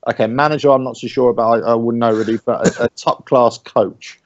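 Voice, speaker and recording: A man's voice: medium pitch, fairly even intonation, fairly quick, no echo. Opinionated man, dry and slightly dull sound. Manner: opinionated, full of hedges and restarts. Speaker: fan panelist. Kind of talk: casual football discussion.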